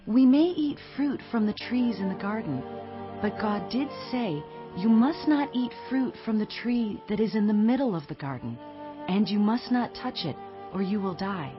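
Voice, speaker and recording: A woman's voice: medium pitch, lively intonation, normal speed, close to an everyday voice. A woman playing the innocent first woman in a dramatized audio Bible, answering carefully and earnestly. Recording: dull muffled audio under music.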